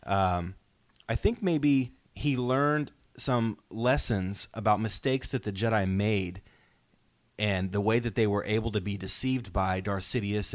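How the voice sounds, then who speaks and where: Low-voiced man, dry close sound. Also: dry recording. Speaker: podcast host. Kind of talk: casual podcast discussion.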